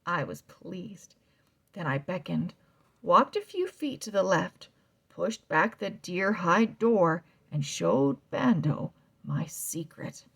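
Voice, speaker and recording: A woman reading a children's novel aloud, slow and expressive, sometimes dropping to a soft whisper. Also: lowish voice, creaky, husky. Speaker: read-aloud narrator.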